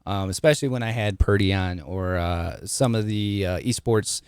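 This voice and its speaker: A man's voice: moderate pace, clear, resonant tone, no room sound. A man hosting a casual podcast interview from his upstairs home studio, friendly and chatty. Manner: friendly, chatty, lively pitch swings. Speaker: podcast host. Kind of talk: casual interview chat over video call. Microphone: studio dynamic mic.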